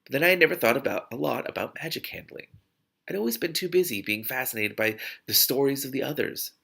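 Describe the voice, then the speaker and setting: A man's voice: mid-range voice, expressive, fast, creaky, pushed and bright, slightly rough. A man reading a novel aloud expressively and reacting to it with exuberant asides.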